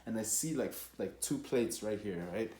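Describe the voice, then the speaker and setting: A young man from Rwanda telling a personal story casually, in an animated, slangy and profane way. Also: lowish voice, moderate pace, slight background noise. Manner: animated, slangy, profane, with acted-out quotes and sound effects. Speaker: storytelling vlogger. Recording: echoey, roomy sound.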